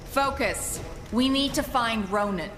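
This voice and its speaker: A woman's voice: fairly high pitch, takes it slowly. An actress playing a no-nonsense female space warrior in a video game, terse, businesslike and dryly sardonic. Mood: wary, focused. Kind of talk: animated video game acting. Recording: loud game music underneath.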